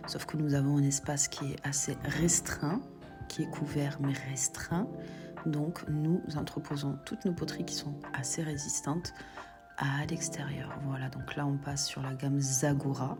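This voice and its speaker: A woman's voice: deep voice, level tone, measured pace, over music. A woman with a cold walking viewers through her shop's pottery stock, chatting as she explains prices and sizes. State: has a cold. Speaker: shop owner presenting stock. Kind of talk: product walkthrough video.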